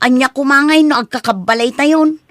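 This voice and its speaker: Radio comedy daughter, played by a woman: Ilocano accent, higher register, insistent, dry studio sound. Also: moderate pace, no room sound, close to an everyday voice. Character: insistent grown daughter pleading with her father. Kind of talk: comedy radio drama acting.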